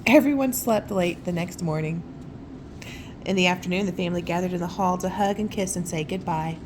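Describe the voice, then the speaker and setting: A woman reading a children's story aloud in a casual, expressive way, with conversational asides. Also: on the low side, brisk, background noise. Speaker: storytime reader.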